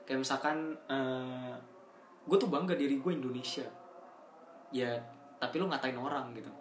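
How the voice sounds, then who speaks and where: A man's voice: medium pitch, moderate pace, echoey room, slight background noise. A young man co-hosting a casual chat, explaining ideas through everyday examples in slangy talk and laughing often.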